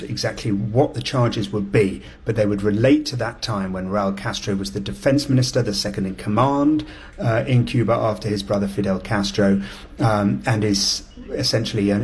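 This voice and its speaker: A man reporting live as a foreign correspondent, explaining events in a measured, careful way. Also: fairly low pitch, darkish tone.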